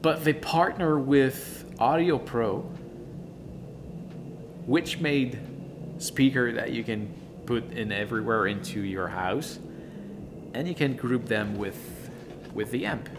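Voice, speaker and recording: Guy doing a casual gear review, mid pitch, excited and halting, noticeable background noise. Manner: enthusiastic, emphatic, halting with repeated words. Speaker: product reviewer. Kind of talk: casual video product review.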